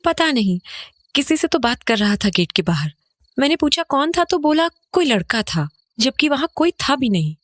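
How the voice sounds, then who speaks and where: A woman's voice: high-pitched, very animated, moderate pace, no echo, clean studio sound. An actress playing an uneasy, questioning mother and wife in an audio horror drama, mixing some English into her talk. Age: adult. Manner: questioning, conversational, Hindi mixed with English.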